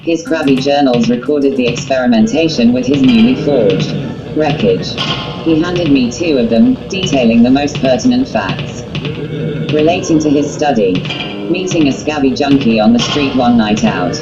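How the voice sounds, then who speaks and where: Very low-voiced woman narrating, muffled. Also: normal speed. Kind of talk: scripted literary narration. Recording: muffled lo-fi, guitar music behind. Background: plucked guitar music.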